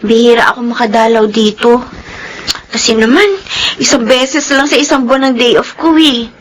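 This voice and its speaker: An actress playing a meek, long-suffering young housemaid who speaks respectfully and apologetically in a radio drama. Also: female voice, on the high side, fairly even intonation, normal speed, a little hiss or hum, lightly acted, poor audio. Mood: resigned, gentle.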